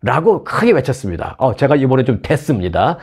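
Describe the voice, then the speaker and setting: A man's voice: medium pitch, very animated, a little fast, darkish tone, slightly rough. A man from Seoul hosting a news commentary show in a chatty, conversational way, with asides and rhetorical questions. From Seoul, South Korea.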